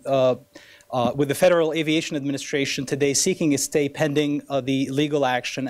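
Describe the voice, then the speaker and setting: A man's voice: fairly high pitch, a little mellow. A man speaking as a county law director, giving a formal legal briefing at a press conference.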